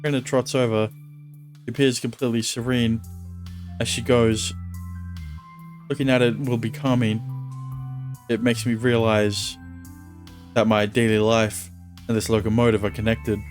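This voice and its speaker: A male streamer who reads visual novel narration aloud in a steady tone and chats casually between lines. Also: mid-range voice, measured pace, long pauses, faint music behind.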